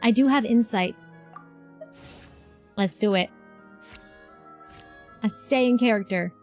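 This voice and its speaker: A woman's voice: on the high side, slow pace, a little mellow. A woman streamer giving casual, informal live reaction commentary in a chatty way. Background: game music.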